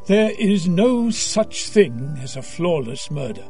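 High-voiced, earnest, unhurried priest. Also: male voice, big pitch swings, mellow, faint music behind, full character voice. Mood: earnest, admonishing. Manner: measured, earnest, counseling. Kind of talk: audio drama acting.

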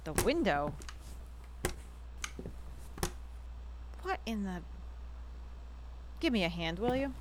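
A brisk, teasing mother. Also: medium pitch, big pitch swings, background noise. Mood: busy. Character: brisk, teasing mother running a boarding house. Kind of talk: audio drama acting.

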